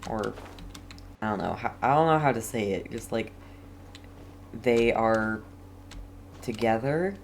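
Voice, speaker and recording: A man's voice: on the low side, lively intonation, measured pace, vocal fry, pauses often, slight background noise. A man playing a game as a streamer, commenting casually and reading dialogue aloud.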